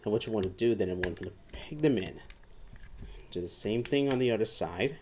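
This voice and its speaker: Halting, enthusiastic male reviewer. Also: lowish voice, expressive, darkish tone, a little hiss or hum. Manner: halting, often repeats and restarts phrases. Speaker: toy reviewer. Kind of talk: casual hands-on product review. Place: indoor room at home, seated at a table.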